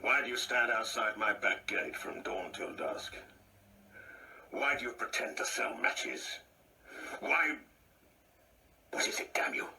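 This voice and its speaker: An actor playing a pompous, insecure old English husband in an absurdist radio play, irritable, domineering and halting as he repeats his words. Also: lowish voice, creaky, bright, forceful tone, recorded from a distance, heavily acted. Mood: haughty, agitated. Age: older.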